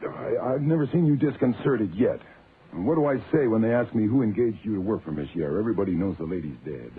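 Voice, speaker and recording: An actor playing a breezy, self-assured private detective with a flippant, colloquial delivery in a radio drama. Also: male voice, on the low side, lively intonation, quick pace, slight background noise, natural voice. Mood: teasing. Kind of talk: hardboiled detective radio drama, narration and dialogue. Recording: tinny band-limited old radio, music behind.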